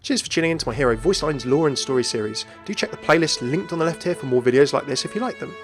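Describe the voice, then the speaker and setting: Fast, animated male gaming narrator. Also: on the high side, soft background music. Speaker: video host. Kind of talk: scripted gaming lore narration.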